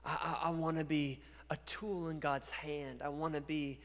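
High-voiced man preaching in a big hall. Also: normal speed. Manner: energetic preaching, gesturing and moving on stage, swings from quiet to loud. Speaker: pastor. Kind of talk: sermon. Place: large dark church stage. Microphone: handheld mic. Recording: long reverb of a large church hall.

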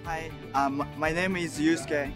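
Slow halting man, Japanese native speaker. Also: on the high side, fairly even intonation, over music. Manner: halting, many fillers. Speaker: language student.

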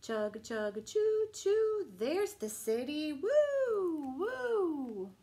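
High-pitched woman reading to children. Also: big pitch swings, extremely slow, dark tone. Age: middle-aged. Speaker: library storytime host reading a picture book. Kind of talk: children's read-aloud storytelling. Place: small room at home, indoors. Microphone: camera a few feet away.

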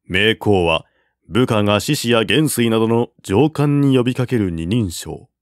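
A man reading a classic war novel aloud as narrator, in a measured, deliberate way. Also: lowish voice, animated, dry recording, clean studio sound. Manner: measured, deliberate reading with raised voice for quoted generals.

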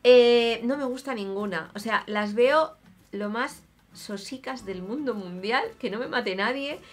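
A woman's voice: fairly high pitch, unhurried, faint music behind. A woman in her thirties with an accent from Spain, chatting casually and expressively to camera as an opinionated beauty vlogger. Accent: Spanish from Spain. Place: small home room, indoors.

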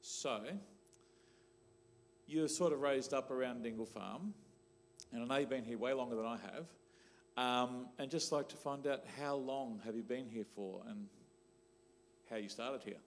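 A man's voice: mid-range voice, a little slow. A middle-aged Australian man, a church leader interviewing a young member on a church stage through a handheld mic, conversational with "um" and "sort of". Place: church stage, large hall.

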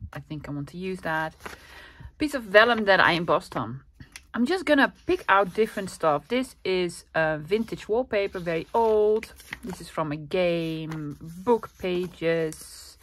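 A woman's voice: on the low side, a bit bright, a little hiss or hum. A woman from the Netherlands with a Dutch accent, hosting a casual crafting tutorial in a chatty, self-deprecating way, slightly under the weather with a cold. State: coming down with a cold, throat clearing. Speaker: crafting channel host.

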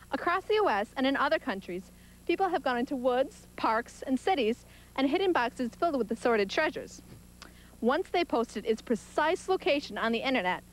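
A woman's voice: high voice, rough recording. A teenage American woman presenting and narrating a youth TV show from a script. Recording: music bed behind voice.

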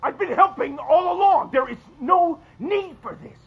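An actor playing a blunt, profane, worn-down small-town American husband in a naturalistic audio drama. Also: male voice, very high-pitched, animated, moderate pace, gravelly, highs cut off, exaggerated voice. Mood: angry, exasperated. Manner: curt, profane. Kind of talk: naturalistic audio fiction acting.

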